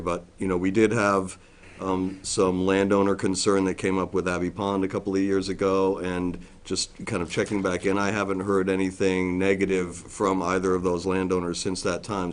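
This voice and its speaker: A middle-aged man, a forest district ranger, giving a loud, plainspoken briefing to a town board with frequent ums and restarts. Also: deep voice. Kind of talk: informal briefing at a public meeting. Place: town meeting room.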